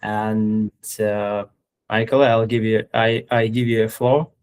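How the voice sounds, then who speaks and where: A man hosting an online meeting as an educational facilitator, speaking informally and haltingly in non-native English phrasing. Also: lowish voice, level tone, darkish tone, clean tone. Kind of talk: hesitant, informal stream hosting.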